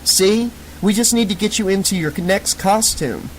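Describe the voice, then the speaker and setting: A woman's voice: mid-range voice, moderate pace, slight background noise, pitched above the performer's own voice, natural voice, poor audio. A man voicing a bossy, brash young woman directing a homemade film. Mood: coaxing.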